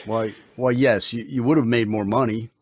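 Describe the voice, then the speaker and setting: A man playing a steady, solemn, dutiful ambulance partner in an old-time radio drama, speaking gravely and insistently. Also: mid-range voice, moderate pace, lightly acted. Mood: probing, grave.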